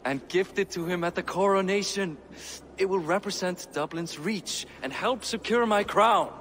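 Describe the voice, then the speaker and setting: Dignified Viking king, slightly high-pitched. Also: fairly bright tone, long reverb tail, a little hiss or hum. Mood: earnest, calculating. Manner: formal, weighty, deliberate. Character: weary, shrewd middle-aged Viking king, dignified. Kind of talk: dramatic video game cutscene acting.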